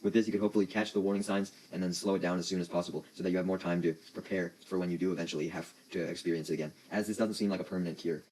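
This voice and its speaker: A man with a low-pitched voice talks at a quick clip. He delivers news commentary in a casual, opinionated way, like an online host. His wording is informal and peppered with swearing. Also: steady tone, a little mellow, poor audio.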